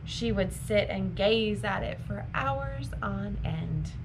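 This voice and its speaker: Female storytime reader, unhurried and deliberate, mid-pitched, expressive for young listeners, with clear background noise. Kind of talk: expressive storybook reading for children.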